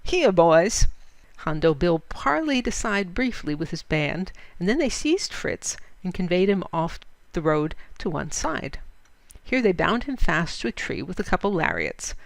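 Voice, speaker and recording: A woman reading a short story aloud as expressive, scripted narration. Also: on the low side, moderate pace, creaky, no echo. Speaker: story reader.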